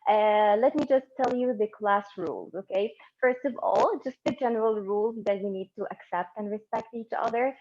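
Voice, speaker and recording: Woman teaching a relaxed online class. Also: medium pitch, normal speed, few pauses. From Egypt. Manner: relaxed and encouraging, with many fillers and restarts. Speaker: English conversation teacher. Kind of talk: live online conversation class. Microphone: laptop or phone mic on a video call. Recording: slightly dull online stream audio.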